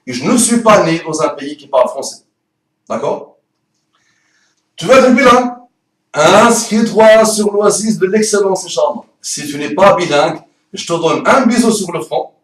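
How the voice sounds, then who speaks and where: Man with an Arabic accent, not a native French speaker, rolled R's, playful and emphatic teacher's pitch; high voice, echoey room, set back from the mic. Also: animated, normal speed, far from the mic. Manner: playful, emphatic, rhetorical questions. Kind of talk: promotional course pitch.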